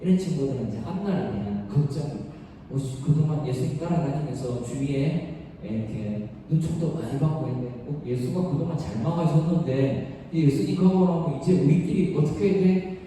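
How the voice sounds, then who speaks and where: A man's voice: fairly high pitch, a little fast, mellow. A man preaching a conversational, informal sermon as a pastor, blunt and slangy, often asking rhetorical questions. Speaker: pastor preaching to young people. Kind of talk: conversational sermon with acted-out quotes. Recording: echoey hall, distant mic, faint noise.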